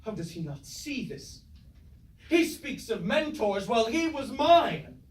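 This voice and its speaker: A man's voice: very high voice, big pitch swings, takes it slowly, heavily acted. A man acting a bitter, war-hungry robot warrior villain in a staged tragedy, declaiming in archaic theatrical lines. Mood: bitter, wounded. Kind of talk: staged reading of mock-Greek tragedy. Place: indoor staged reading, mic at a distance. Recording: echoey room, distant mic, faint noise.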